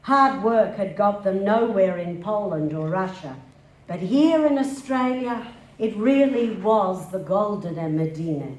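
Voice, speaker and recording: A woman reader as a nostalgic daughter of migrant traders, speaking slowly at a middling pitch. It is a staged reading of memories in an echoey hall with faint noise. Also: a little mellow. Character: migrant traders' child fondly remembering market days. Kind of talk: dramatic reading of childhood memories. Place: indoor live literary event.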